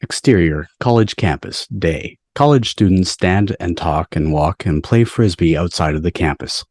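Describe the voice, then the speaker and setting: A man with a deep voice reads scene directions from a script. The sound is dry, with little room in it, like a home video call. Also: animated, normal speed, a little mellow, dry recording. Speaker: stage directions reader. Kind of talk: scripted narration at a table read. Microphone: video call microphone.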